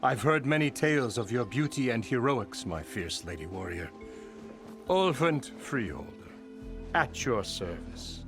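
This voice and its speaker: An actor playing a pompous, self-important labour boss in a fantasy video game, bombastic, theatrical and mock-courteous in manner. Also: male voice, medium pitch, lively intonation, measured pace, music in the background, close to an everyday voice. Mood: mocking, smug. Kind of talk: video game character acting.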